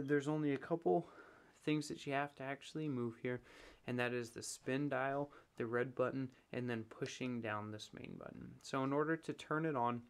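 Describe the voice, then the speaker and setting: A man giving a casual product review, explaining things step by step in a plain, matter-of-fact way. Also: mid-range voice.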